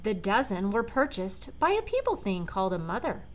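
An older woman, a grandmother, reading a children's storybook aloud with dramatic, deliberate phrasing. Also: medium pitch, big pitch swings, slight background noise. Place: large living room at home.

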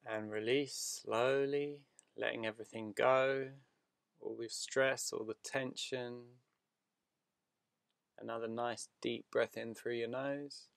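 A man leading a guided meditation in a soothing, unhurried manner, speaking in short phrases with long pauses. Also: mid-range voice, fairly even intonation, vocal fry, dry recording, clean studio sound.

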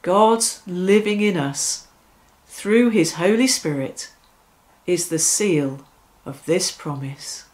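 Slow-paced middle-aged woman leading prayer. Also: on the low side, darkish tone, low-quality recording. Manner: measured, reverent, with deliberate phrasing. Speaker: church worship leader. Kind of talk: devotional reflection and led prayer. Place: small furnished room indoors.

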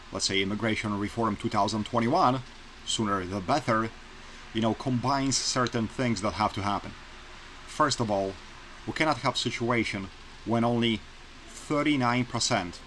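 A man's voice: fairly low pitch, fairly bright tone, a little hiss or hum, low-quality recording. A man in his forties from Poland with a Polish accent, talking earnestly and plainly to his webcam in a small bedroom, repeating his points for emphasis. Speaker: vlogger. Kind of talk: casual opinion vlog to camera. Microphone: webcam close-up.

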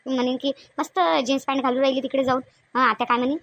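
Woman acting a very high-pitched, bashful young cartoon wife. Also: flat delivery, very fast, a bit bright, no echo. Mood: bashful worry. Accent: Vidarbha Marathi (Varhadi). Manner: bashful, fretful, exclaiming. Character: shy young newlywed village wife, anxious, adoring, naive. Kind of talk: cartoon dialogue acting in colloquial dialect.

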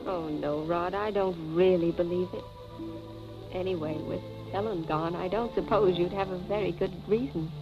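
A woman's voice: on the low side, normal speed, close to an everyday voice. An actress in her thirties playing a wry, wary society wife with a dry, poised manner in a 1940s Hollywood film. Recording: muffled vintage film soundtrack with music.

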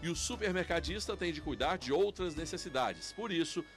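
A Brazilian man TV reporter reading a scripted news feature in a lively, informative way. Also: on the high side, fairly quick, faint music behind. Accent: Brazilian Portuguese.

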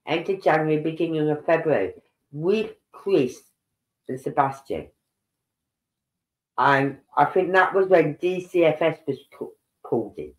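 An older man talks slowly and unhurriedly into a webcam mic at home. He sounds congested, as if his nose is blocked up. He rambles and thinks aloud, often breaking off mid-sentence. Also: a little high, expressive, low-quality recording. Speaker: true-crime livestream host. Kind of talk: casual livestream commentary reading posts aloud.

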